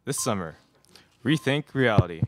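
A man's voice: fairly low pitch, expressive, moderate pace, lightly acted. A young man playing a solemn, ominous narrator of a science fiction trailer parody. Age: young adult. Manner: solemn, declamatory. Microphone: microphone on stand.

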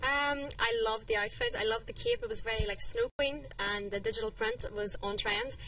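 A young Northern Irish woman chats about her opinion. Her voice is high with a lot of vocal fry and swooping intonation. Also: big pitch swings, moderate pace, a bit bright, distant mic, rough recording. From County Derry, Northern Ireland. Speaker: fashion panellist. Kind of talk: casual opinion.

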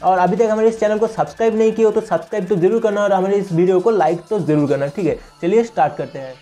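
A man's voice: high-pitched, fast talker, mellow. A young man narrating a casual phone tutorial as a tech channel host, explaining step by step in an instructional manner. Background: music.

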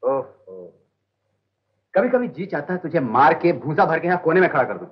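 A man's voice: fairly high pitch, expressive, normal speed, slightly rough. An actor playing a brash young male star singer who talks casually and bluntly, with joking threats. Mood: gruff. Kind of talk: 1970s film dialogue. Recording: dull old film soundtrack.

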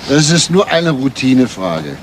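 A composed police detective in a crime radio play. His mid-pitched voice probes methodically and stays matter-of-fact, with clear street-like noise behind it. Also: sing-song, measured pace.

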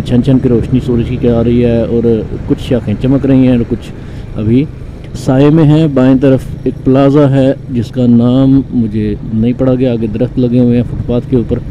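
A man from Pakistan, calmly narrating a motorcycle ride through his city with many pauses and fillers. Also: mid-range voice. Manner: calm, unhurried, pausing with frequent fillers. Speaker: motorcycle vlogger. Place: on a motorcycle on a city road, outdoors. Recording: dull lo-fi sound with road and traffic noise.

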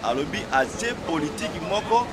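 High-pitched Congolese man over loud street noise. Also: very animated, fairly quick. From Democratic Republic of the Congo. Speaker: street interviewee. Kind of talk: impassioned opinion in a street interview. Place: outdoors by a doorway on a street. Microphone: small wired lapel mic held near mouth.

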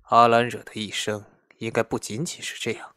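An imperious immortal lord voiced by a male actor in a fantasy audio drama. His voice is mid-pitched and cool, recorded close and dry. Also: flat delivery, normal speed, pushed and bright, no room sound, close to an everyday voice. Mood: cool, unhurried.

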